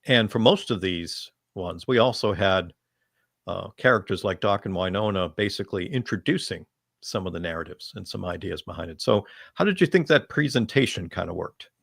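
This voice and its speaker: A man with a low, gravelly voice moderates a discussion panel. He speaks off the cuff and feels his way through each thought with measured phrasing. The sound is close and dry. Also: expressive, fairly bright tone, dry recording.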